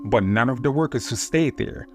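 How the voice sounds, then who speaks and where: A man narrating true-encounter horror stories in a steady, measured reading voice. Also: medium pitch, faint music behind. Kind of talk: scripted horror story narration.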